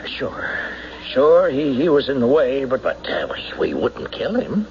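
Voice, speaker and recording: An animated, mid-pitched voice of a nervous man under suspicion in a radio mystery. He is evasive and halting, stumbling over his answers. The old broadcast sounds dull, with faint noise. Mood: cornered, pleading. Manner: hesitant, halting, stammering under questioning. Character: nervous, evasive man in love, suspected of murder. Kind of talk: old-time radio drama acting. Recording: noisy band-limited old radio broadcast recording.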